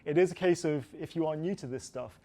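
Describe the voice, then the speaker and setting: A man in his fifties, a personal trainer explaining fitness in an energetic, motivational way. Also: on the high side, fairly quick. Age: 50s. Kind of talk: expert explaining.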